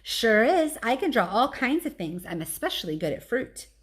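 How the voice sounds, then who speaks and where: A woman's voice: fairly high pitch, big pitch swings, normal speed, voice pushed up. Woman reading as a mild, patient male gorilla. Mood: warm, obliging. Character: gentle, patient male gorilla who draws.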